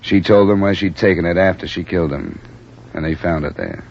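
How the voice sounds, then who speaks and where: An actor playing a hard-boiled insurance investigator in a 1950s radio drama, clipped and matter-of-fact. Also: male voice, deep voice, lively intonation, fairly quick, creaky, background noise. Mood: tense, probing. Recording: dull old radio transcription with music.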